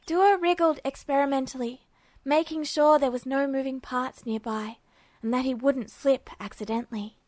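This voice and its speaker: Female amateur narrator for fiction, fairly high voice, dry, close sound. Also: fairly even intonation, normal speed, a little mellow, dry recording. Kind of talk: scripted story narration.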